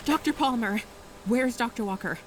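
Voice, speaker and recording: Casting: young female military officer, played by an actress, higher voice, formal, clipped, resigned, audio drama, faint hiss behind. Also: moderate pace, natural voice. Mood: terse, firm. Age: young adult. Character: young female navy ensign, dutiful, resigned, shaken.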